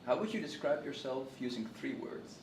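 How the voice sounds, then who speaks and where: A man's voice: mid-range voice, expressive, a little mellow. Guy firing off short interview questions, off mic, faint hiss. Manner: brisk, asks short questions. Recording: roomy, distant mic, faint noise.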